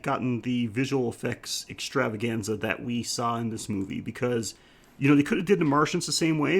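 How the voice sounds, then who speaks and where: A man with a mid-pitched voice co-hosts a casual film podcast. He speaks haltingly, thinking aloud and circling back to rephrase his points. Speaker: podcast co-host.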